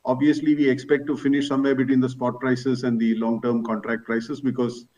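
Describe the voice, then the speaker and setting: A man's voice: mid-range voice, level tone, a little fast, mellow. A man, a company executive, answering analysts' questions on an earnings call, explaining things point by point. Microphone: conference call. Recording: distant, slightly dull conference call audio.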